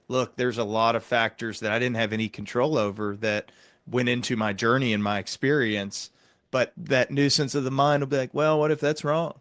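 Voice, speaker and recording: A man hosting a reflective interview, explaining things in a measured, reassuring way. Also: mid-range voice, a bit bright, no echo. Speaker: interview host and psychiatric clinician.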